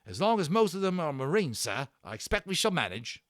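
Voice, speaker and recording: A man's voice: on the high side, sing-song, fairly quick, a bit bright, no echo, clean studio sound, voice pushed up. A man voicing a tiny army corporal from a storybook, deferential but grumbling. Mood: alarmed. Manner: clipped military, exclaiming, respectful to superiors.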